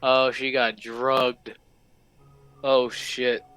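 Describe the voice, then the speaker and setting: A woman's voice: a bass voice, flat delivery, extremely slow, a bit bright, clear, resonant tone, no echo, faint music behind. A woman casually reacting as a video host, muttering short exclamations and swearing.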